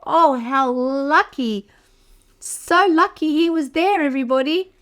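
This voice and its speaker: A woman with a fairly high voice reads a children's story aloud. She takes it very slowly and expressively, addressing her young listeners directly as a storyteller would. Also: a bit bright. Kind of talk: read-aloud picture book narration for toddlers.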